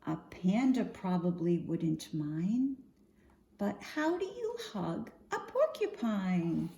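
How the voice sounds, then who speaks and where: A woman reading a picture book aloud for young children in an expressive, singsong storytime manner, often posing questions to her listeners. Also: medium pitch, takes it slowly, creaky. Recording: roomy echo, faint background noise.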